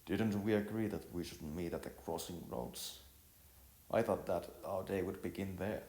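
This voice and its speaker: A man's voice: sits low, normal speed, a little mellow. A man voicing an earnest, modest boy in an amateur story, speaking in a polite, formal, hesitant way. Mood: reasoning. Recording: roomy echo with music behind.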